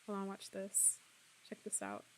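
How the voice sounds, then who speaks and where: A woman in her twenties, slightly hoarse, chatting casually and laughing often as she streams a game and answers her viewers. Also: mid-range voice, very animated, measured pace. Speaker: streamer playing a horror game. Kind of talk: casual live-stream chat, reading out viewer comments.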